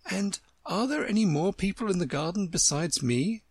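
A woman's voice: lowish voice, animated, moderate pace, darkish tone, slightly rough, no room sound, clean studio sound, voice pushed up. A woman voicing a curious, polite young girl who asks questions in a storybook. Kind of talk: storybook character voice.

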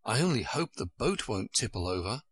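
A man's voice: on the low side, very animated, moderate pace, fairly bright tone, breathy edge, exaggerated voice. A man voicing a polite, earnest and eager little girl from a storybook, easily puzzled. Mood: wistful. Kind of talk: storybook character voice.

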